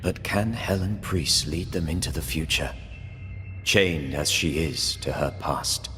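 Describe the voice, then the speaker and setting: A grave narrator of a dark ghost story in a video game. His low voice delivers scripted, portentous lines in a long reverb over clear music. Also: unhurried.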